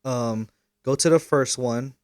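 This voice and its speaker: A young man hosting a podcast in casual chat, animated and hesitant, often getting louder when excited. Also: mid-range voice, a little slow, dry recording, studio quality. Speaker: podcast host.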